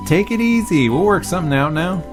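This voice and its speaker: A man voicing a mischievous little prankster girl in a squeaky, teasing put-on voice. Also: lively intonation, brisk, over music.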